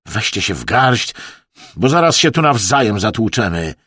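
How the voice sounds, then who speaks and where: A man's voice: fairly low pitch, very animated, normal speed, fairly bright tone, breathy edge, dry recording, clean studio sound, heavily acted. A man voicing a stern British naturalist leading an expedition in an audiobook, speaking firmly and emphatically. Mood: irritated. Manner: firm, emphatic. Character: stern British naturalist, usually muffled voice, firm leader.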